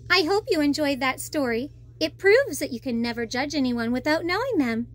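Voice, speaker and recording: A high-pitched woman reads a storybook for young children. She is expressive and animated, speaking directly to her listeners in a chatty way as a storytelling host. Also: a little slow.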